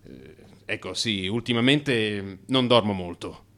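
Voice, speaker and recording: A man acting an anxious, defensive, sleepless office worker in a theatrical radio monologue, agitated and halting as he justifies himself. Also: on the low side, bright, forceful tone, exaggerated voice. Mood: nervous, evasive. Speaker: actor.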